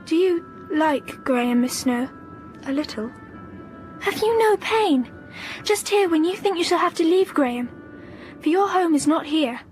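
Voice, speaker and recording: A woman's voice: extremely high pitch, animated, a little slow, a little mellow, soft background music. An actress playing an odd, intense, fragile little girl in a literary audio drama. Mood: probing, defiant.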